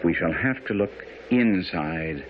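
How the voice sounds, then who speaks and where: A shrewd, methodical detective in a radio mystery. His low voice moves freely in pitch as he muses and gives courteous, authoritative orders. The sound is tinny and thin, like an old radio. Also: expressive. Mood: musing, deducing. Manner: brisk, musing, courteous, authoritative. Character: shrewd, methodical English detective questioning and deducing. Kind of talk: radio drama acting. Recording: tinny, phone-like radio drama, noisy.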